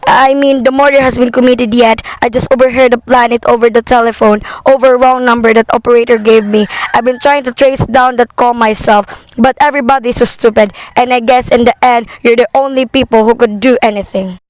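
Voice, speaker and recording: High-pitched, fretful housewife acted by a woman. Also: fairly even intonation, brisk, hardly any pauses, no echo, lightly acted, low-quality recording. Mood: agitated, urgent. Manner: fretful, insistent, wordy. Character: anxious, demanding invalid wife reporting an overheard murder plot. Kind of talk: amateur radio play acting.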